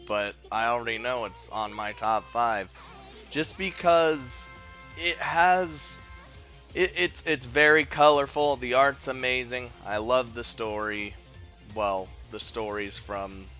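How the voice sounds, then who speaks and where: A man's voice: medium pitch, bright, forceful tone. A man giving casual, informal video commentary as a game reviewer, talking in an enthusiastic, rambling way. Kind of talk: casual top-five list commentary.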